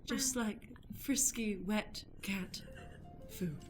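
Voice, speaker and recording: A woman's voice: medium pitch, drawn-out words, faint music behind, rough recording. A woman playing a purring, vengeful cat woman with melodramatic delivery in an improvised comic radio play. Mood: sultry. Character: purring, vengeful woman who was turned into a pet cat.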